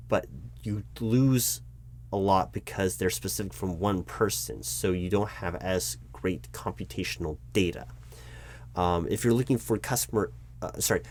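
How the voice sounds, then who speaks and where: A man acting as a corporate recruiting manager with a fairly low voice. He is frank and exasperated, speaking in a conversational roleplay style. He hesitates, backs up to correct his wording, and keeps explaining his point. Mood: explanatory. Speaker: roleplay audio performer. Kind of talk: one-sided conversational audio roleplay.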